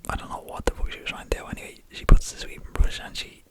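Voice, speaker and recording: A young man with an Irish accent, whispering an animated story to his viewers and swearing casually as he goes. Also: quick pace. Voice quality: whispering throughout. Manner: animated, swears casually, addresses listeners as lads. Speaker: vlogger telling a story.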